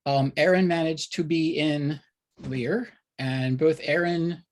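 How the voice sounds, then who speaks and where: A man hosting a casual interview, speaking in a hesitant, halting way as he pieces his thoughts together. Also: on the high side, steady tone. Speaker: host.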